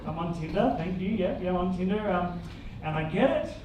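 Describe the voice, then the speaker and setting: A woman in her forties with a deep voice, performing a stand-up comedy set with a dry, halting delivery full of ums. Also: lively intonation, far from the mic. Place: comedy club stage with live audience. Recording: echoey room, mic from afar, loud crowd noise.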